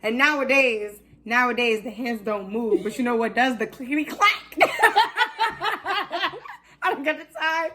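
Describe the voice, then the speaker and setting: Animated young woman telling stories. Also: fairly high pitch, a little slow. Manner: animated storyteller, laughs often, loud voice. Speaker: host. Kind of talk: casual chat.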